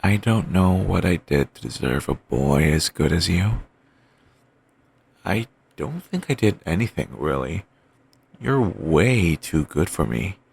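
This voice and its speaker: A man playing an obsessive, doting yandere boyfriend in an audio roleplay, talking softly and rambling on with endearments. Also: low-pitched, sing-song, vocal fry, husky, heavily acted. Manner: soft, murmuring, slow and rambling endearments.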